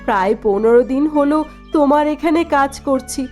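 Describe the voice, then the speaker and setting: A woman's voice: high voice, normal speed, darkish tone, soft background music, natural voice. A woman playing a sorrowful but proud young wife in a cartoon drama, plaintive and earnest. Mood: sad recounting. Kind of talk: dubbed cartoon dialogue.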